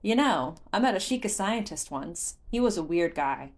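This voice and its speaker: A woman voicing a friendly, theatrical male merchant in a fantasy story, with a casual, animated manner. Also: on the low side, normal speed. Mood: chatty. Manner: casual, animated, playfully dramatic.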